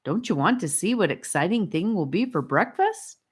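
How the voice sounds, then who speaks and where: A woman's voice: lowish voice, animated, normal speed, breathy edge, voice pushed up. A woman voicing a perky, playful, always hungry little boy in a children's storybook. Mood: coaxing.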